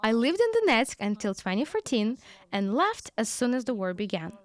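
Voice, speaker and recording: A woman speaks a first-person voice-over translation in a lively, conversational way. Her voice sits a little high, and her pitch rises and falls a lot. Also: normal speed. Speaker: voice-over interpreter.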